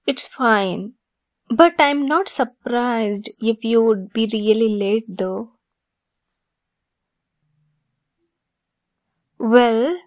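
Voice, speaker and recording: A woman voicing an affectionate, playful young female best friend secretly in love, in a soft, halting ASMR roleplay. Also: fairly high pitch, no echo. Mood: warm, teasing. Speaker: audio roleplay voice actress.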